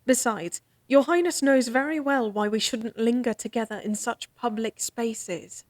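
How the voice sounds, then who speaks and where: A woman's voice: fairly high pitch, a little slow, dry recording, close to an everyday voice. An actress playing a proud young lady-in-waiting and court musician in a fantasy audio drama, formal and a bit exasperated. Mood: reserved. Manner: crisp, formal, slightly exasperated.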